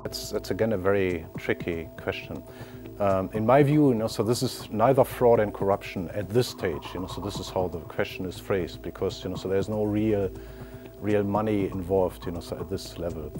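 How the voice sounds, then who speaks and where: Male expert contestant, deep voice, halting explanatory answers full of verbal tics, music behind. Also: darkish tone. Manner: hesitant, peppers speech with "you know, so". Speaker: quiz contestant, governance expert.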